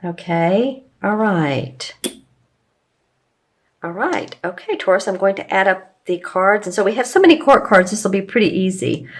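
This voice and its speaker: A woman giving a casual, rambling tarot reading to her viewers, with a slightly hoarse voice and plenty of fillers and restarts. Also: medium pitch, animated, normal speed. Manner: meandering, with frequent fillers and restarts. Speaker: tarot reader.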